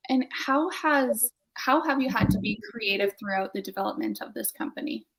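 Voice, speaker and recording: Brief: woman in her thirties, measured interview host, slightly higher voice, on a home video call. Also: creaky, fairly bright tone, rough recording. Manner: measured, posing questions. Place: home room.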